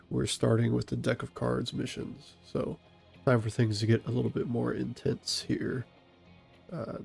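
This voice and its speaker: Gravelly stuffy man explaining game tactics. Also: medium pitch. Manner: calm, methodical explaining of tactics. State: stuffy from a cold. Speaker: gaming channel host and SWAT operator. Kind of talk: tactical gameplay commentary.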